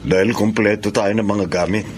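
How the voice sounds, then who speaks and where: Man acting low-voiced Filipino seaman, noisy background. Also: fairly even intonation, normal speed, close to an everyday voice. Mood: reassuring. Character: reassuring seaman, loyal shipmate. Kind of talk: Filipino radio drama acting.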